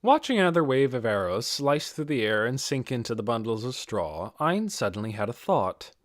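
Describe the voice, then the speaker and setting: A man reading a scripted fantasy audiobook in an expressive, dramatic storytelling manner. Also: mid-range voice, normal speed, dry recording, clean studio sound. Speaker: audiobook reader.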